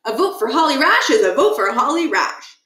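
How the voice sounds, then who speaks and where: A woman's voice: high voice, big pitch swings, brisk, fairly bright tone, reverberant, voice pushed up. A woman voicing a confident, friendly schoolgirl with a breezy, assured manner in a storybook. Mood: upbeat.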